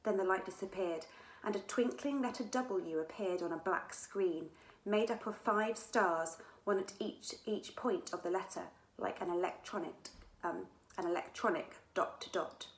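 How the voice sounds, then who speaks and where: A woman reads a children's adventure story aloud with expressive delivery. Her voice sits at a middle pitch, and the room adds a noticeable echo. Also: moderate pace. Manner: expressive, with dramatic character dialogue. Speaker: author reading own book aloud. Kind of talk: scripted children's story narration. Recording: echoey room sound.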